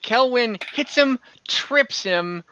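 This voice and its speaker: A man's voice: very high-pitched, lively intonation, slow pace, pushed and bright, no echo, muffled recording. A man acting as game master in a casual online tabletop game, narrating and refereeing in an easygoing, accommodating way.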